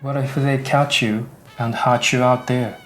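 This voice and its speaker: Gentle, hesitant soldier, played by a man. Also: medium pitch, level tone, measured pace, faint music behind, natural voice. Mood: worried. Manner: hesitant, polite. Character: gentle, hesitant Japanese soldier, a lonely widower. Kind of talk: radio drama acting.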